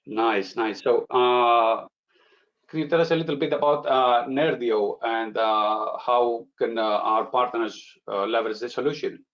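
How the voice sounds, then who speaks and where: A man's voice: mid-range voice, a little slow, dull audio. A man hosting a business webinar, speaking hesitantly with many "uh" fillers. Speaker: webinar host. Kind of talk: webinar presentation.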